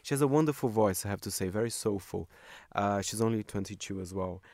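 A man presenting a music chart countdown on a radio panel, chatty and quick to laugh, with lots of fillers. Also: on the low side, gravelly, a little mellow, dry recording. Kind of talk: casual radio panel chat. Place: radio studio.

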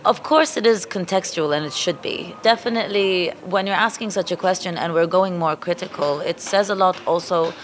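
A woman's voice: lowish voice, moderate pace, hardly any pauses. A woman who directs a design week, answering interview questions at length in an earnest, thoughtful way.

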